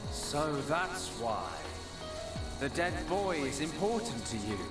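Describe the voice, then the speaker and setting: An actor playing an arrogant, cruel god in a video game, pompous, sneering and theatrical. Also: male voice, fairly high pitch, sing-song, slow. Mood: contemptuous. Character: arrogant, megalomaniacal male god, cruel and contemptuous. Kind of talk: dramatic video game villain acting. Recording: roomy, distant, loud music underneath.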